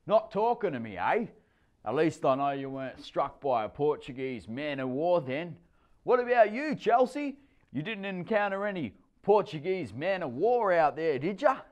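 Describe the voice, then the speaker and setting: A man's voice: fairly high pitch, big pitch swings, normal speed, voice pushed up. A man doing a cocky surfer dad. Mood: chirpy. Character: cheerful boastful middle-aged surfer stepdad.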